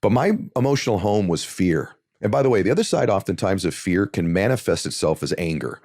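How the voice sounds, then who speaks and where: Deep-voiced earnest man telling a story. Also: lively intonation, a little fast, a little mellow, no room sound, clean studio sound. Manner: earnest, builds to impassioned emphasis when telling a story. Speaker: guest. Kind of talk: conversational interview storytelling.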